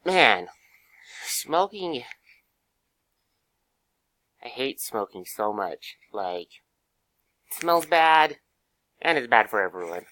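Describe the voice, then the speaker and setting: A hoarse young man with a cold, giving a casual class presentation, full of fillers and pauses. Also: mid-range voice, big pitch swings, pushed and bright, dry recording. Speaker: student presenter.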